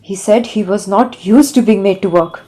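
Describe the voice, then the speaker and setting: A woman's voice: medium pitch, normal speed, warm tone, lightly acted. A woman playing an exasperated overseer in an audio play, reporting deferentially to a superior.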